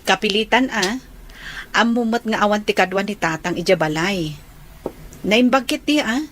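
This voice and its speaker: A woman's voice: medium pitch, normal speed, a bit bright, slight background noise, close to an everyday voice. A woman playing a friendly Filipino elder sister figure in a radio drama.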